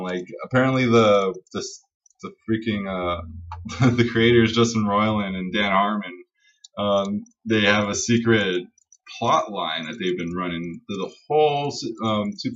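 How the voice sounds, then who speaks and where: A man's voice: lowish voice, a bit bright. A man co-hosting a casual geek-culture podcast, enthusiastic and rambling, swearing freely as he talks. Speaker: podcast co-host. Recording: dull lo-fi audio, distant from mic.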